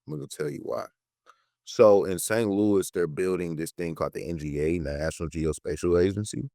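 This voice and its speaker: A man's voice: deep voice, moderate pace, gravelly, mellow, no echo, clean studio sound. A nineteen-year-old man from the United States talking casually as a podcast guest, telling stories in slangy speech with emphatic repetition. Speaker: podcast guest, political educator and content creator. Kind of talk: casual conversational storytelling.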